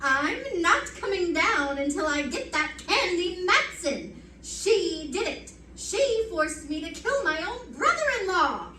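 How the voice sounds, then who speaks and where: A roomy stage recording of an actress as a venomous radio-drama villainess. Her voice is high and swoops dramatically, defiant and full of vengeance. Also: very animated, slow, few pauses, sharp tone, far from the mic, slight background noise, heavily acted. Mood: vengeful, defiant. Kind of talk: old-time radio melodrama acting.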